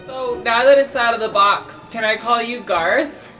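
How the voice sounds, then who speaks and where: A woman's voice: a little high, measured pace, fairly bright tone, soft background music, pitched above the performer's own voice. A woman voicing an affectionate, tipsy turtle student in a tabletop game, coaxing others in a friendly way.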